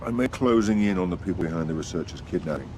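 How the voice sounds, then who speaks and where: An actor playing a cool, dry-witted British secret agent in a spy game, commanding and unflappable. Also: male voice, deep voice, expressive, fast talker, a little mellow, a little hiss or hum. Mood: brisk, businesslike. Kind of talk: cinematic spy game acting.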